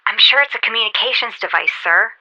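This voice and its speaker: A woman's voice: fairly high pitch, quick pace, bright, forceful tone, no room sound, highs cut off. A woman playing an eager, brave and deferential spaceship officer in a sci-fi radio drama, reporting in a clipped, respectful military way. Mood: intent, reporting. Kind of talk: golden age sci-fi radio drama acting.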